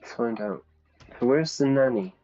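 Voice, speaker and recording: A man's voice: mid-range voice, animated, unhurried, warm tone, muffled recording, faint music behind. A man giving casual, playful commentary to viewers as he plays a game, thinking aloud. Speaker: live streamer and English teacher.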